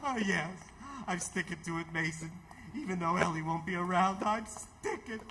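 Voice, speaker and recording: An actor playing a distraught, bitter and weary ambulance driver in old-time radio theatre, with an emotional, halting, stammering delivery. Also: male voice, high voice, bright, forceful tone, a little hiss or hum. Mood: grieving.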